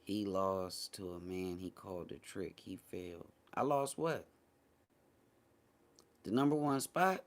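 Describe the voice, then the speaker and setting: Casting: American male livestream host, lowish voice, casual chat delivery, blunt and dismissive. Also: slow pace, long pauses. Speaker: host of a morning talk show.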